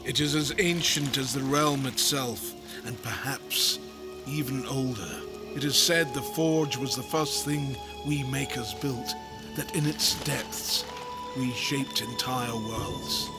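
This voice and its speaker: Ancient maker sage; unhurried, grave, solemn delivery beneath a loud game score. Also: male voice, medium pitch. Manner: grave, measured, archaic. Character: solemn, wise male elder of ancient makers. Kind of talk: dramatic fantasy game acting. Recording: loud music behind the voice.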